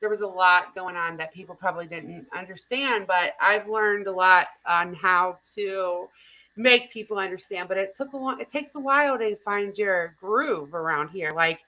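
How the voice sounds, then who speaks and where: Woman host with a bright, forward voice; agreeable, supportive chat tone. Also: medium pitch, poor audio. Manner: agreeable, laughs along. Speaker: channel host. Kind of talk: casual chat.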